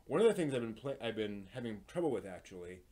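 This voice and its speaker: A man hosting a casual live stream, explaining hobby projects in a halting, thinking-aloud way. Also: on the low side, very animated, mellow.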